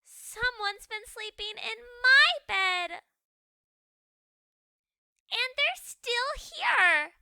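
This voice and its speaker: A small, indignant ghost child from a children's storybook, acted out by a woman. The voice is very high and bright, swinging widely as it wails its complaints. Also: big pitch swings, drawn-out words, pauses often, no echo, studio quality, heavily acted. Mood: upset, wailing.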